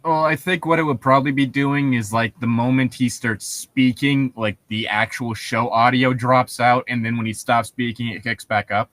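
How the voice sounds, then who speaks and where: A man with a mid-pitched voice talks casually as a co-host. He explains things in a rambling, conversational way, and the recording is dry with no room sound. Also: fairly even intonation, normal speed, hardly any pauses, a bit bright, no echo. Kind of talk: casual commentary chat.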